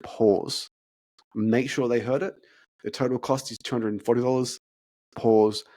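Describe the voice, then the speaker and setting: A man's voice: mid-range voice, fairly even intonation, unhurried, dark tone. A man, casually breaking down a sales script, stuttering and repeating words as he talks. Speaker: fitness business consultant. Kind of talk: casual podcast chat, role-playing sales calls.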